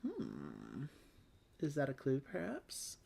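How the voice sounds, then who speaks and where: Animated, low-pitched woman, playful and wry. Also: takes it slowly, gravelly, breathy edge. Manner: playful, wry joking asides. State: slightly phlegmy. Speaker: host of a board game play-along livestream. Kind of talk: casual chat with read-aloud game text.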